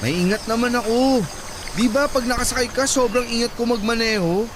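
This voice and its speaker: A man's voice: very high voice, a little fast, background noise. A man acting an eager, agreeable, sweet-natured young Filipino boyfriend in a radio drama, speaking earnestly. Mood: eager, mild. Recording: loud music behind the voice.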